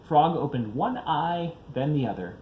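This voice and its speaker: A man's voice: fairly high pitch, animated, unhurried, phone-quality sound, slight background noise. A father reading a children's picture book aloud, expressively, varying his pace for young listeners.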